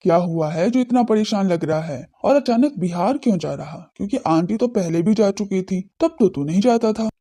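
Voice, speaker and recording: A woman's voice: medium pitch, lively intonation, moderate pace, few pauses, dark tone, slightly rough, pitched below the performer's own voice. A woman voicing serious, brooding young men in a romance audio drama, with stern, restrained delivery. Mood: probing, serious. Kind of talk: audio drama character dialogue.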